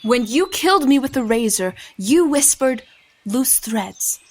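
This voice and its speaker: Actress as high-voiced, defiant hunted woman. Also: animated, measured pace, darkish tone, a little hiss or hum. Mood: insistent. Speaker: lead actor and first-person narrator. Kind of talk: audio drama acting and narration.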